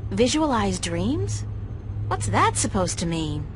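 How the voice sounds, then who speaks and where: A woman's voice: mid-range voice, huge pitch swings, slow pace, background noise. An actress playing a wry, thoughtful young female art student in a video game, talking in a conversational way. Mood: puzzled, friendly. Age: young adult.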